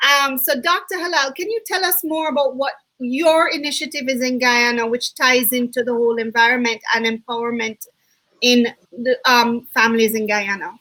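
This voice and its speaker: A woman co-hosting an online summit on a video call, bubbly and quick to laugh, often correcting herself mid-sentence. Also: a little high, steady tone, sharp tone. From Jamaica. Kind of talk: enthusiastic webinar hosting.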